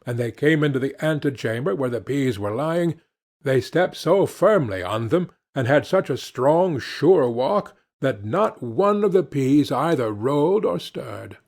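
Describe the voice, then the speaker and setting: Male audiobook narrator for fairy tales: scripted storytelling, mid-pitched, very varied intonation. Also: lively intonation, unhurried, darkish tone.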